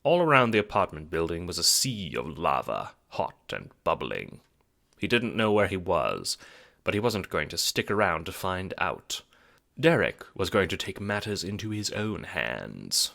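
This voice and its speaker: Dramatic, lively low-voiced male storyteller. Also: measured pace, vocal fry. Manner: dramatic, wry, rhetorical. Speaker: narrator and producer of an audio drama. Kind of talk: theatrical scripted storytelling narration. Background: music.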